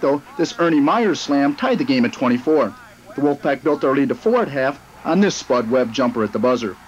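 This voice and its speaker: A man with an American accent narrating scripted highlights as a TV sports reporter. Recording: muffled old TV broadcast tape.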